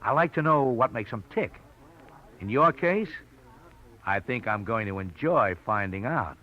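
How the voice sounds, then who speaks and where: An actor playing a cocky, flirtatious, shrewd reporter with an American accent and a slangy, sardonic way of talking, in a 1940s radio drama. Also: male voice, mid-range voice, lively intonation, unhurried, lightly acted. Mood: flirtatious, insinuating. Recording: muffled old radio broadcast recording.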